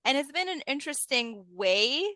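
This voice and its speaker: A woman's voice: sits high, very animated, normal speed, pushed and bright, dry recording, clean studio sound. A woman with a Lebanese Arabic accent, speaking casually as a coach being interviewed. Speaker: interview guest, leadership coach.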